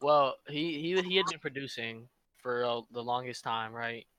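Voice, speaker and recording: A man's voice: medium pitch, fairly even intonation, normal speed, crowd noise. A fifteen-year-old boy chatting loosely as a guest on a podcast, joking about himself. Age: teens. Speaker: interview guest. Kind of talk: casual chat.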